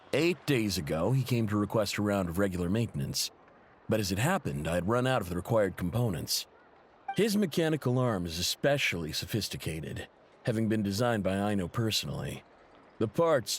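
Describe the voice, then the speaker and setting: An actor playing a helpful, easygoing mechanic in a video game, talking in a casual, sympathetic way. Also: male voice, on the low side, slight background noise. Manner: casual, expressive, conversational.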